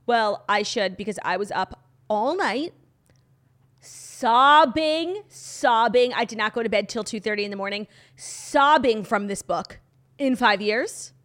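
A woman co-hosting a podcast in casual chat, her voice a little hoarse, talking in a gushing rush full of "like". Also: a little high, a bit bright. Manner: gushing, fast, heavy use of "like". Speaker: podcast co-host.

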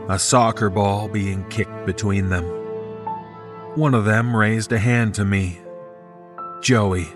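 A man reading a scripted first-person horror story as its narrator. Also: lowish voice.

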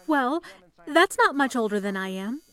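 An actress playing a polite, earnest young telephone operator in an old-time radio drama, soothing and composed in manner. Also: female voice, high-pitched, expressive, moderate pace, fairly bright tone. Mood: friendly. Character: young 1930s telephone operator, calm, earnest woman saving for college.

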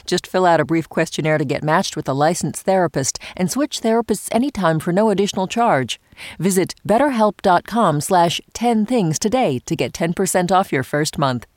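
A woman with a somewhat low voice reads a scripted sponsor spot in a smooth, even tone. The sound is dry and close, with no room around it. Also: normal speed, no room sound. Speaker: sponsor ad reader. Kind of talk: scripted ad read.

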